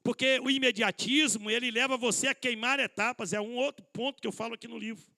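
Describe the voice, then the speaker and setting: A man with a strikingly high voice, a gravelly rasp and a sharp, bright edge gives a conversational sermon at a church conference. He is a middle-aged pastor who calls the congregation brothers and leans on rhetorical questions. He pokes gentle fun at himself along the way. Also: fairly even intonation, fast. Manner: addresses listeners as brothers, rhetorical questions, self-deprecating humor. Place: church hall.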